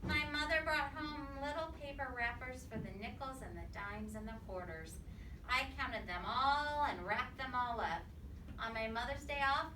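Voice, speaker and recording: High-voiced woman reading aloud in echoey room. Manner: simple, child-directed explaining and prompting. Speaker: reader of a children's picture book. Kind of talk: read-aloud storytelling with questions to a young child. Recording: echoey room, distant mic, clear background noise.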